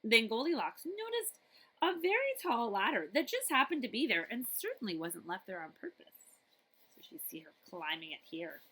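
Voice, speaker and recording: A woman's voice: fairly high pitch, husky, low-quality recording. A woman librarian reading a picture book aloud to children expressively, with chatty asides and questions to her listeners. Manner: animated, chatty, pausing to ask listeners questions.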